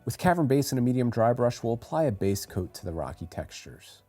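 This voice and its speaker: Mellow-voiced man narrating a tutorial. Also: medium pitch, sing-song, fairly quick, faint music behind. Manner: clear step-by-step instruction. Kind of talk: scripted how-to voice-over.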